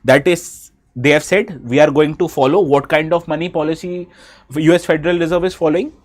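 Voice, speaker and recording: A man's voice: fairly high pitch, fairly even intonation, fairly quick. Indian man teaching with a cold. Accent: Indian English. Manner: asks students questions, switches briefly into Hindi. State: has a bad cold. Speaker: exam prep teacher. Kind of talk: live online lecture.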